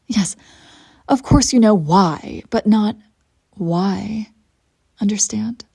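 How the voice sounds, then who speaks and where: A woman playing an imperious evil empress in an audio roleplay, coolly seductive and menacing, with theatrical, deliberate phrasing. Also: mid-range voice, vocal fry, a little mellow, slightly rough, no room sound, studio quality, full character voice. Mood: taunting, intimate. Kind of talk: scripted audio roleplay acting.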